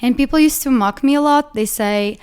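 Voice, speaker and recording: A woman's voice: high voice, normal speed, darkish tone, smooth. A young woman with a Portuguese accent, a Portuguese native speaker, hosting a casual Q&A and chatting with many fillers. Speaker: podcast host and ceramic artist. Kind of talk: casual Q&A chat.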